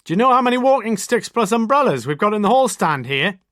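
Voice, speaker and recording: A man's voice: mid-range voice, huge pitch swings, moderate pace, fairly bright tone, dry recording, clean studio sound, voice pushed up. A man voicing a patient, modest police sergeant who speaks plainly and earnestly.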